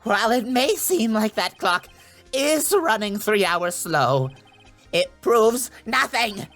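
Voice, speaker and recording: A man's voice: high-pitched, animated, takes it slowly, a bit bright, faint music behind, voice pushed up, heavily acted. A man voicing a shifty, hot-tempered male murder witness in a video game, combative and prone to stammering. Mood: defiant. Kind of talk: video game character dub.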